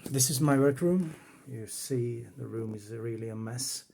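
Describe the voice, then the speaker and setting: Czech-accented man with a mellow voice. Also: medium pitch, gravelly, slight background noise. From Czech Republic. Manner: unhurried, plain, talks while working the computer. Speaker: retro computing hobbyist. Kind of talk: casual home demo walkthrough. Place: cluttered home workroom.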